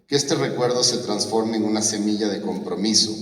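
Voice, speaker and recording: A man with a lowish voice gives a solemn commemorative speech, building phrase on phrase in a rhetorical cadence. He is heard from afar in a large concert hall with a long reverb. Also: brisk, fairly bright tone. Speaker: speaker at a memorial ceremony. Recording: roomy hall from far off.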